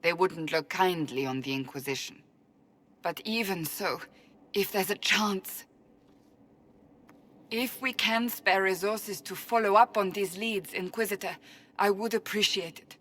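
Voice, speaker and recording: A woman's voice: mid-range voice, measured pace, long pauses, a bit bright. An actress playing a stern, devout woman warrior in a video game, speaking formally and earnestly. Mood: grave, earnest. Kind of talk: dramatic video game acting. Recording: music behind the voice.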